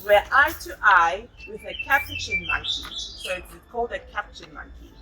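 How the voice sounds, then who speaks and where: A woman's voice: mid-range voice, animated, moderate pace, music in the background. A woman reading a picture book aloud to children, patient and encouraging, adding chatty asides and echoing what they say. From South Africa. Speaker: storybook reader.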